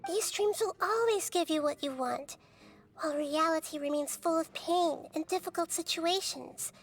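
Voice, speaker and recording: A woman voicing a gentle, wise young girl deity in a game, speaking calmly and thoughtfully. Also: very high voice, normal speed. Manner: calm, thoughtful, softly cautioning. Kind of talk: game cutscene dialogue. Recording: game audio with music bed.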